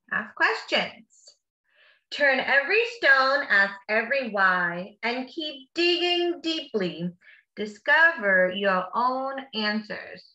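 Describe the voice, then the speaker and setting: Lady teacher doing a slow read aloud for kids, bright voice, echoey room, chatty encouraging asides. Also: fairly high pitch, lo-fi sound. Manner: encouraging, explains phrases simply to children. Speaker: teacher reading a picture book to children.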